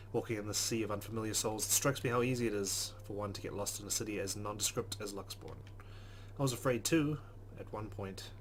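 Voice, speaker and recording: A man's voice: on the low side, fairly bright tone, a little hiss or hum, low-quality recording. A man hosting a let's play, reading game narration aloud and adding casual commentary.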